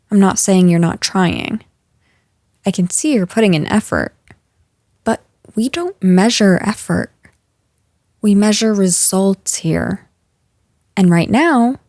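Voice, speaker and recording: A woman playing a stern, frustrated office boss in a scripted audio roleplay, speaking to an employee in a firm, measured, exasperated way. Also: mid-range voice, mellow, dry recording, clean studio sound. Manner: firm, exasperated, measured managerial delivery with sighs.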